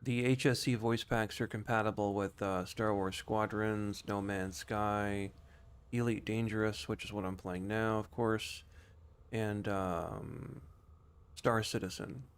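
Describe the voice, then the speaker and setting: A man's voice: fairly low pitch, steady tone, unhurried, smooth. A man streaming a game with casual, rambling, conversational commentary.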